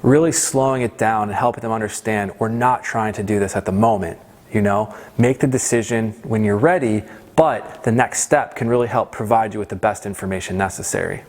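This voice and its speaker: A man's voice: fairly low pitch, fairly quick. A man giving an instructional talk as a sales trainer, conversational and emphatic, often checking in with the listener. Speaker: sales trainer presenting a course. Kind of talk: instructional presentation with role-played example lines.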